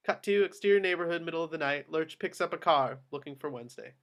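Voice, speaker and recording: A man's voice: fairly high pitch, fast talker, fairly bright tone. A man reading scripted action descriptions as the narrator of a screenplay table read.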